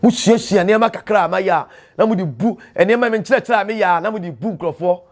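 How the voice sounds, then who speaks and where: A man's voice: sits high, brisk. A Ghanaian man hosting a storytelling show, teaching in an impassioned, emphatic monologue full of proverbs and repeated phrases. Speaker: host and traditional storyteller.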